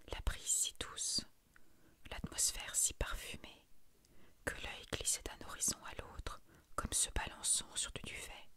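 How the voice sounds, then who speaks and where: A narrator whispering a literary short story in a soft, measured, breathy ASMR bedtime reading.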